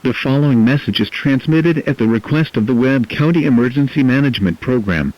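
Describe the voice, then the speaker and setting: A man's voice: medium pitch, lively intonation, a little fast, background noise, natural voice. A man playing a formal emergency alert announcer, reading a scripted message. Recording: dull lo-fi alert broadcast.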